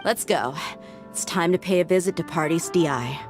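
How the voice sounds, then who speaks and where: Woman doing a teasing young mercenary, low voice, playful, loud game music behind. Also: moderate pace, close to an everyday voice. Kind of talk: game voice acting.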